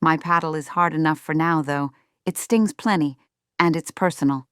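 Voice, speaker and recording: A woman's voice: low-pitched, moderate pace, fairly bright tone, clean tone, dry recording, studio quality. A woman playing a strict, self-righteous middle-aged mother in a scripted roleplay, speaking to viewers with folksy, sugary condescension. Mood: firm. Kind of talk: scripted ASMR roleplay monologue.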